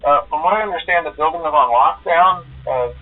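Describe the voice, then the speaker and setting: An actor playing a nervous, evasive restaurant employee in a video game, hesitant and stammering through a telephone filter. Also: male voice, fairly high pitch, fairly quick. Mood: uneasy, downplaying. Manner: hesitant, stammering, full of uhs. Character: nervous, evasive male restaurant employee leaving phone messages. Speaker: phone caller in a horror video game. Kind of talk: video game voice acting as a recorded phone message. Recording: tinny, band-limited phone line.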